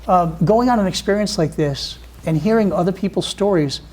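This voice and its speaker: A woman's voice: fairly low pitch, a little fast, a little mellow. A woman hosting an interview, who keeps encouraging her guest with affirmations and leading questions. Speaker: host. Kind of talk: interview talk show.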